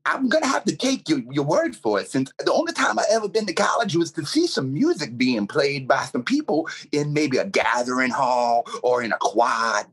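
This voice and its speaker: A hapless oddball in his forties, played by a man, with a husky voice. His pitch wanders up and down as he rambles haltingly through a comedy radio interview. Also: big pitch swings, a bit bright. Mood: hapless, rambling. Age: 40s. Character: odd, hapless shaggy 47-year-old man living in a shed.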